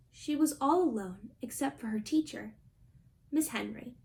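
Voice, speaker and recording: Young woman, high voice, storybook reader, reading a picture book aloud. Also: mellow. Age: young adult. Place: small indoor room at home.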